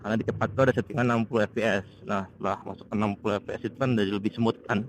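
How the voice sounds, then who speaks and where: A man's voice: on the low side, fairly even intonation, very fast. Man chatting through a noisy helmet intercom. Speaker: motovlogger. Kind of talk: casual vlog chat while riding. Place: outdoors on a motorcycle on the road. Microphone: helmet intercom microphone over Bluetooth. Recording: noisy wireless helmet intercom microphone outdoors. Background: wind and road noise.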